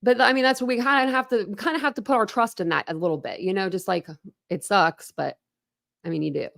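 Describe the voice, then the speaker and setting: A woman's voice: medium pitch, fairly even intonation, fast, creaky, a bit bright. A woman runs a true crime livestream in loose, chatty commentary. She rambles, trips over her own starts and circles back. The delivery stays casual and unscripted. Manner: rambling, chatty, self-interrupting with laughs. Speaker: true crime channel host.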